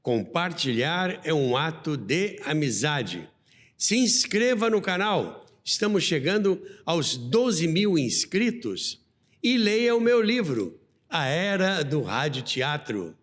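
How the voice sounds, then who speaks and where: A man's voice: fairly high pitch, sing-song, slow pace. A Brazilian man hosting and narrating a scripted history documentary in a dramatic, nostalgic storytelling manner. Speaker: podcast host and narrator. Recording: long reverb, faint music behind.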